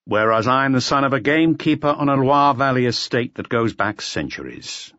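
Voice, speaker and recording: Man playing an intense, gruff police inspector, animated delivery. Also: mid-range voice, moderate pace, no echo, muffled recording, pitched above the performer's own voice. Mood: serious, emphatic. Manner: emphatic, explanatory. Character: gruff, brooding middle-aged male police inspector, intense and worldly.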